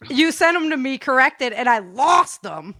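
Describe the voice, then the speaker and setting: A woman's voice: high voice, very animated, a little slow, pushed and bright, no echo. A woman hosting a casual chat in a playful, teasing manner. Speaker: host, audio drama author.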